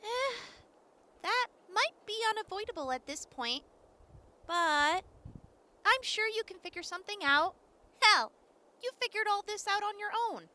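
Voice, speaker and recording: Woman voicing a snarky female wasteland sidekick, really high n bright, swoops around, blunt teasing radio drama stuff. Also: expressive, a little slow, long pauses, heavily acted. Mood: prodding, wry. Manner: blunt, sarcastic, sighs and scoffs. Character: brash, teasing, sardonic female wasteland companion. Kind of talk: audio drama dialogue.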